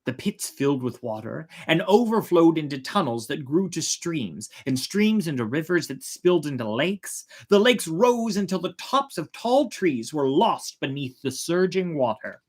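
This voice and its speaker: A storyteller narrating a folk tale in a theatrical way, with drawn-out, emphatic words. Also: mid-range voice, very animated, a little mellow. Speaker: storyteller, host of a storytime show.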